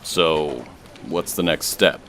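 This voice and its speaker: A man's voice: a bass voice, takes it slowly, a bit bright, a little hiss or hum, full character voice. A man playing an easygoing, businesslike FBI colleague in a scripted radio drama. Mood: casual, approving.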